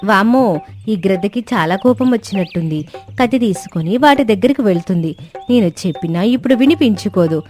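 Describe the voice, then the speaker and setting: A woman's voice: medium pitch, animated, fairly quick, a little mellow, close to an everyday voice. A woman voicing an anxious stork who frets and exclaims about danger in a children's cartoon story. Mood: alarmed. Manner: fretting, exclaiming to itself. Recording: music bed under the voice.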